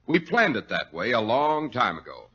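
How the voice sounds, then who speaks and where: A man announces in persuasive 1940s radio advertising style. His polished delivery is bright and lively, with some rasp. The recording is old and dull. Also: mid-range voice, normal speed.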